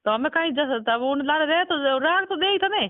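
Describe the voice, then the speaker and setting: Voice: sits high, lively intonation, moderate pace. Rajasthani accent, pushy repeated questions, casual phone call, muffled narrow line, no echo. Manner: insistent, repetitive questioning. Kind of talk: informal phone conversation, questioning. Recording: muffled band-limited phone call recording.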